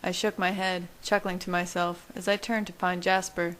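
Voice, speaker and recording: Female amateur audiobook reader, slightly low pitch, steady measured story narration. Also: a little hiss or hum. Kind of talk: scripted first-person story narration.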